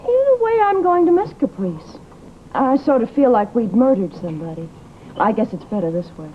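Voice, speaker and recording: A wistful, gentle woman in a vintage drama. Her voice is high and moves widely in pitch. The recording is dull, with faint noise behind it. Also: sing-song, normal speed. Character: gentle, wistful woman freed from witch rumours. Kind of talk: old-time American drama acting. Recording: muffled, noisy vintage broadcast recording.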